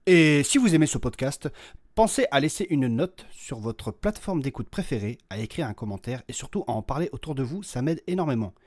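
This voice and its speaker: A man's voice: medium pitch, a little fast, dry recording, studio quality. A man who makes the podcast, reading its intro and asking listeners for support in an upbeat way. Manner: upbeat, lively promo delivery. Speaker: podcast creator.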